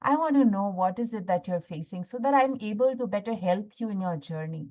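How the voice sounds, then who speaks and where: A woman's voice: mid-range voice, expressive, a little fast, warm tone, lo-fi sound. A woman with an Indian accent hosting a live online motivational masterclass, repeating phrases for emphasis and checking in with her listeners. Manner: repeats phrases for emphasis, seeks agreement with "right?". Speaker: workshop host and healing coach.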